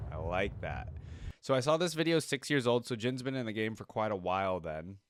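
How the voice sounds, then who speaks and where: A man hosting a reaction video, giving casual, enthusiastic commentary and thinking aloud as he watches. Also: lowish voice. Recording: loud orchestral music behind voice. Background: game trailer and theme music.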